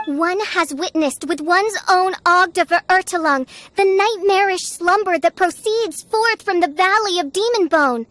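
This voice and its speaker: A woman's voice: very high-pitched, unhurried, few pauses, a bit bright, dry recording. A woman voicing a grandiose teenage girl who poses as a gothic princess in a video game, speaking pompously. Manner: pompous, theatrical, archaic wording. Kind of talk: video game character acting. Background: background music.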